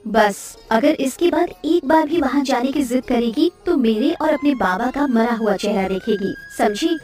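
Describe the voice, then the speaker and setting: A woman's voice: a little high, animated, moderate pace, a little mellow, close to an everyday voice. A woman voicing a possessive, fearful village mother, a fisherman's wife in a cartoon story. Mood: stern, hurt. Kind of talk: Hindi cartoon story dialogue. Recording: distant sound with faint music.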